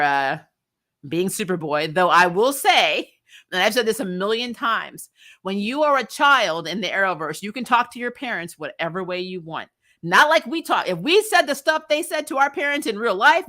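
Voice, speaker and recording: A woman hosting a casual, opinionated recap, talking in an animated, slangy, sweary way and often acting out lines. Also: mid-range voice. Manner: acts out dialogue in mocking quotes. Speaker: host of a TV recap show. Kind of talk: casual, opinionated review chat to viewers.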